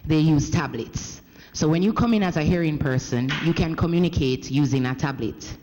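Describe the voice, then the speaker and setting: Jamaican man, slightly high voice, formal address in a reverberant hall, measured delivery with frequent self-corrections. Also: darkish tone. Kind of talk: formal parliamentary speech, interpreted live. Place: parliament chamber. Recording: echoey parliament hall.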